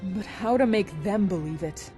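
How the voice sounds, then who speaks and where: Woman as military scientist, serious, earnest, medium pitch, music behind, sci-fi audio drama. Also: moderate pace, close to an everyday voice. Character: earnest female military scientist, urgent and caring. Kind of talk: amateur sci-fi drama acting.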